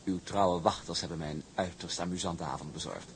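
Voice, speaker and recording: Smug shipping heir: genteel menace, low and animated, audio drama with faint noise. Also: male voice, fairly quick. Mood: mock-courteous. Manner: mocking courtesy, genteel, unctuous. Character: smug, silkily polite villainous shipping magnate's son. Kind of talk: Dutch audio drama acting.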